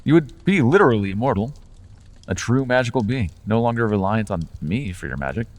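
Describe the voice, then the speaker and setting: A man's voice: on the low side, animated, a little fast, in a large hall, natural voice. A man voicing a playful male fae patron in an ASMR fantasy roleplay, talking in a charming, teasing, conversational way with small restarts.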